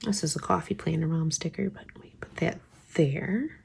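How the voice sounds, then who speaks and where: Woman vlogger with a slight cold, gravelly and a bit low, casual desk chat that drifts into whispers. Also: normal speed, darkish tone, slight background noise. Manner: chatty, thinking aloud, trailing into whispers. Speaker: planner vlogger. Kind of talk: casual craft chat while planning.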